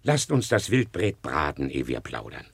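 A man's voice: very deep voice, wildly swooping pitch, moderate pace, creaky, breathy edge, no echo, heavily acted. Fatherly huntsman with swooping delivery. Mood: welcoming, fatherly. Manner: declamatory, hearty. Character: kindly fatherly huntsman living in a cave, noble. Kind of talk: classic German radio play acting.

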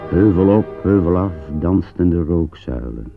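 A man narrating a war drama for radio in a grave, measured, declamatory manner. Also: deep voice, expressive, creaky, narrow, tinny audio, heavily acted. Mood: grave, solemn. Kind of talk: solemn scripted radio play narration. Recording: phone-thin old radio recording. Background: music.

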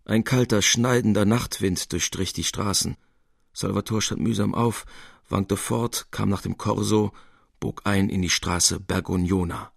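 A man reading literary prose as a narrator, in a measured and expressive storytelling manner. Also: fairly low pitch, vocal fry, slightly rough, no echo, clean studio sound.

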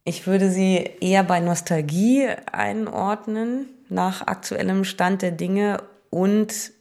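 A woman's voice: fairly low pitch, darkish tone. A woman giving a considered opinion as an interviewee.

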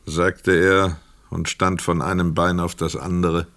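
A man narrating a literary crime radio play in a measured, sober, scripted delivery. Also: deep voice, flat delivery, fairly bright tone, no room sound, studio quality.

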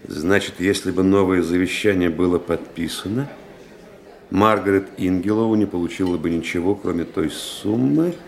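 An actor playing a composed, probing doctor who assists the police in a radio play, politely insistent. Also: male voice, low-pitched, normal speed, vocal fry, darkish tone. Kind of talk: Russian radio play acting. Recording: radio play with music underneath.

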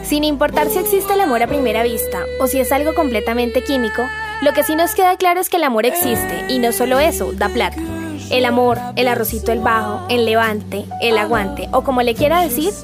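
A woman's voice: fairly high pitch, expressive, brisk. A woman with a Colombian accent delivering scripted narration as presenter of a radio magazine show. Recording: music bed behind the voice.

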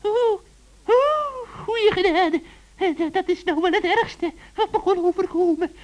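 A man's voice: very high-pitched, a little slow, pitched above the performer's own voice. A man voicing a whining, scheming old female witch in a children's radio play, theatrical and stammering. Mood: dismayed.

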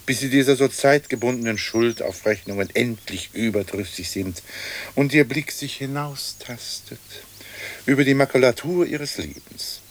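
An actor playing a lofty, philosophising leader of the dead, pompous and florid, in a radio play. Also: male voice, medium pitch, moderate pace, slight background noise, close to an everyday voice. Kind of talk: German radio play acting.

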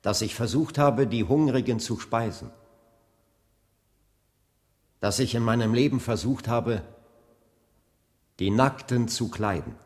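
A man's voice: mid-range voice, lightly acted. An actor playing an earnest civil rights preacher in his late thirties in a German radio drama. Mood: solemn. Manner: measured, deliberate oratory when preaching. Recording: long hall reverb.

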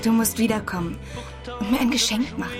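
High-voiced, coaxing young woman, played by an actress. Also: brisk, loud music behind the voice, natural voice. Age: 20s. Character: gentle, coaxing young prostitute of twenty-two. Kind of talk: radio play acting.

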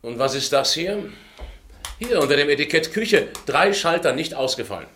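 A man playing a methodical police inspector in a radio crime drama, questioning witnesses and reasoning aloud deliberately in a husky voice. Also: a little high, lively intonation, a bit bright, a little hiss or hum. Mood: probing.

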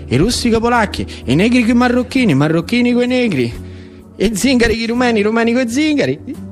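A man with a Roman accent narrating theatrically for radio in short, repeated dramatic phrases. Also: fairly high pitch. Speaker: actor-narrator.

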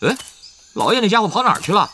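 A cocky young male gamer swordsman for an audio drama, very high in pitch. He rattles on quickly, repeating himself and exclaiming, a born chatterbox. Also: very animated, very noisy. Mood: exasperated.

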